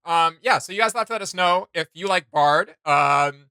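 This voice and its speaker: A man in his thirties with a somewhat high, very bright and forceful voice co-hosts a reaction show. He rambles enthusiastically in casual conversation, sounding close and conversational. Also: normal speed, vocal fry, clean tone, no echo.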